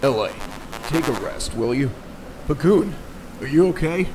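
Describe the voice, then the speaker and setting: An actor playing a brash, breezy rogue space pilot in a radio drama, casual and wisecracking. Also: male voice, a little high, lively intonation, unhurried, noisy background. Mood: harried. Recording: loud music underneath.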